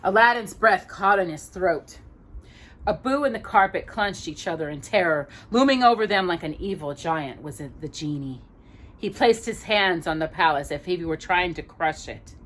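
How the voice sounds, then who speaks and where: A woman reading a children's story aloud, expressively, with dramatic, measured phrasing and pauses. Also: lowish voice, animated, fairly bright tone, low-quality recording. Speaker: storytime reader.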